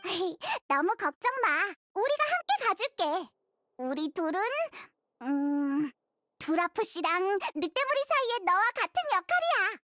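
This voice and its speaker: A woman's voice: very high-pitched, very animated, unhurried, pushed and bright, dry recording, clean studio sound, full character voice. A woman voicing a small, chatty floating fairy companion in an anime game, childlike and boastful. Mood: eager, reassuring. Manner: childlike, boastful, refers to self in third person. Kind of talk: Korean anime game voice acting.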